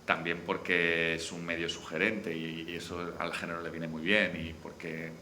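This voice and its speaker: A man with a Spanish accent from Spain speaks on a discussion panel, unscripted, often halting and restarting his phrases. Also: low voice, completely flat delivery, pushed and bright. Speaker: panelist, series director. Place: live forum venue. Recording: echoey room, faint background noise.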